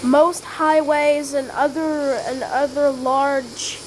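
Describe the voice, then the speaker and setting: A woman improvising an amateur mock emergency broadcast as the announcer, with halting, repetitive delivery. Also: high voice, fairly even intonation. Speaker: emergency alert announcer in a hobby scenario. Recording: clearly noisy home recording.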